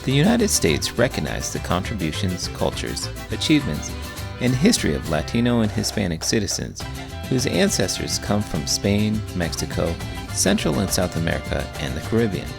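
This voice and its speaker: A man doing an expressive, scripted read-aloud of a children's book. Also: low voice, normal speed, gravelly, over music. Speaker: teacher reading children's books aloud.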